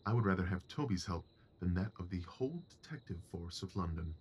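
Male actor as confident Victorian gentleman detective, fairly deep voice, precise, dryly superior, audio drama, noticeable background noise. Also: animated, fairly quick. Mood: brisk, instructive.

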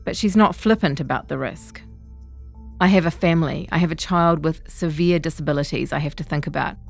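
Low-pitched New woman narrating. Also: fairly quick, creaky, faint music behind. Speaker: narrator of a long-read podcast. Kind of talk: scripted narration of a feature article.

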